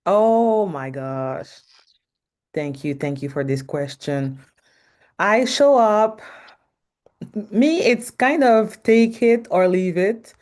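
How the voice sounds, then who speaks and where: A woman from Canada with a French Canadian accent, a podcast guest and marketing advisor who speaks frankly in a loud voice, pausing often with ums. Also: medium pitch, expressive. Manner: frank, hesitant with many ums, laughs often. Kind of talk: interview conversation.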